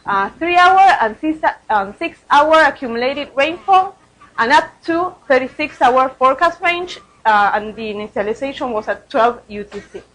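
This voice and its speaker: High-voiced woman giving a halting research talk. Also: expressive, fairly bright tone, poor audio. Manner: hesitant, halting delivery with repeated words. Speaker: researcher presenting study results. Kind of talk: conference research presentation.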